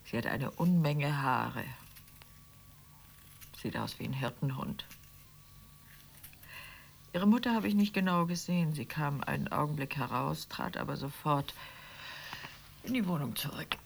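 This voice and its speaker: Radio play wife: chatty, middle-class would-be writer, low and creaky, slight background noise. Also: female voice, lively intonation, a little slow, pauses often, heavily acted. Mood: chatty, gossiping. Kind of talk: radio play dialogue acting.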